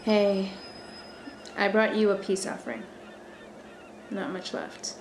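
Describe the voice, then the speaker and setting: An actress playing an earnest, guarded young student in a radio thriller, expressive and conversational. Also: female voice, mid-range voice, slow pace, slight background noise, close to an everyday voice. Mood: apologetic. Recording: echoey room, music underneath.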